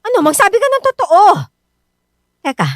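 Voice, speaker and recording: A Filipino actress playing a worried, suspicious wife who nags and scolds in a Tagalog radio drama. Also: female voice, extremely high pitch, big pitch swings, moderate pace, no echo. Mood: alarmed, demanding. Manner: insistent, scolding.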